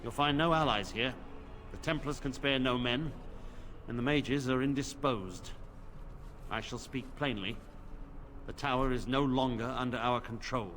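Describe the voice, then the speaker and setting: An actor playing a stern middle-aged knight commander in a video game, grave and commanding. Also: male voice, medium pitch, unhurried, pauses often, a bit bright, soft background music, lightly acted. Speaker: game character.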